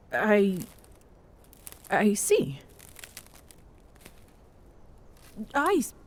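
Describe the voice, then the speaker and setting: Slow, husky Amazonian queen, played by a woman. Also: medium pitch, a little mellow, full character voice. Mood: flustered, shy. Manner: halting, hesitant, formal regal phrasing. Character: tall proud Amazonian queen, smitten, flustered, imperious yet tender. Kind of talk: intimate ASMR audio roleplay.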